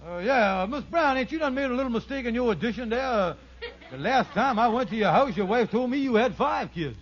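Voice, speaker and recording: An actor playing a scheming, fast-talking con man in a 1940s radio sitcom, with blustering, stammering, folksy ungrammatical speech. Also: very high-pitched, lively intonation. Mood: flustered. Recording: muffled vintage radio recording.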